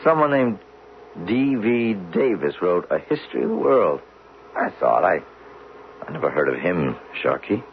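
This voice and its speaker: A man acting in a 1970s radio drama as a driven, learned geologist, speaking intensely and deliberately with theatrical pauses. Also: low-pitched, big pitch swings. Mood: puzzled, intrigued. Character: driven, learned geologist obsessed with solving a buried mystery. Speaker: actor in a radio play. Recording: dull, noisy 1970s radio recording.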